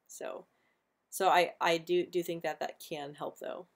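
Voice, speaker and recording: Young woman host, low-pitched, stutters on sounds, relaxed live chat. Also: a little slow. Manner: stutters often, repeating sounds and words. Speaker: livestream host answering viewer questions. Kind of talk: casual live stream chat.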